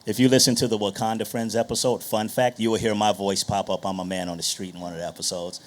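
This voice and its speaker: Guy hosting a panel, lowish voice, chatty questions. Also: flat delivery, quick pace. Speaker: panel moderator. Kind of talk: conversational interviewing.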